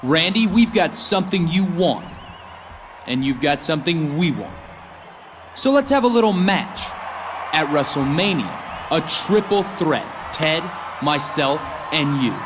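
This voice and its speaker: A man's voice: a little high, lively intonation, unhurried. A man playing a gloating, scheming young wrestler in a video game, mocking and theatrical. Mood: challenging. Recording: noisy arena with loud crowd.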